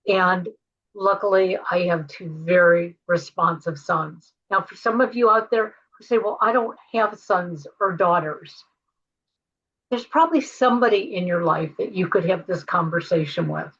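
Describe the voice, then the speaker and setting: An older American woman hosting a podcast, giving conversational advice to listeners in a measured, explanatory way. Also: fairly low pitch, fairly bright tone, breathy edge, lo-fi sound. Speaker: podcast host.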